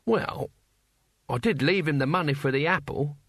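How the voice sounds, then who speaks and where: Guy doing an old barbarian warrior, gruff n blunt, halting, audiobook fantasy, dry sound. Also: fairly high pitch, fairly bright tone, no echo. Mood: sullen. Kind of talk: audiobook character acting.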